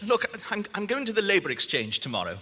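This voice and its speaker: A naive, well-bred southern English father in a stage drama. His voice is high and swooping, formal and earnest, carrying through a reverberant theatre. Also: huge pitch swings, quick pace, pushed and bright, full character voice. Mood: earnest, insistent. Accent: posh southern English. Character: posh, naive middle-class English father fallen on hard times. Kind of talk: stage play acting. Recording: echoey theatre hall.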